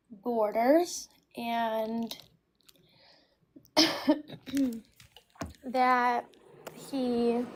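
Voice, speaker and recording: A woman in her twenties from the United States, speaking with a very high voice in a casual debate, halting at first, then insistent. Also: slight background noise, poor audio. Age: 20s. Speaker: caller.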